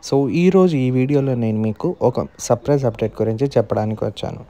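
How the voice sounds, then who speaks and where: A man's voice: mid-range voice, fast talker, mellow. A man with a Telugu Indian accent narrating a tutorial as a gaming channel host.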